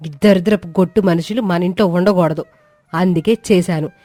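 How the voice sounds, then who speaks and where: A woman's voice: fairly low pitch, fairly even intonation, brisk, mellow, no echo, faint music behind, close to an everyday voice. A woman playing a haughty, spiteful middle-aged mother-in-law, scornful and evasive, in a family drama. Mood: defiant scorn.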